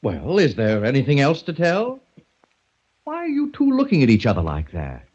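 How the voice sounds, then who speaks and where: A man's voice: medium pitch, huge pitch swings, normal speed, darkish tone, husky. An actor playing a flustered, bewildered man in an old radio drama, talking in a fussy way. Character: flustered older man Henry. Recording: dull lo-fi 1940s radio recording.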